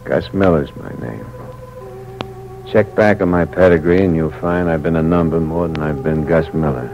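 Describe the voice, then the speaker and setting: An actor playing a bitter, weary young ex-convict in a 1940s radio crime drama, with a wry, slangy, hard-boiled manner. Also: male voice, extremely low pitch, normal speed, loud music behind the voice. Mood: bitter, brooding. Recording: dull, noisy old radio recording.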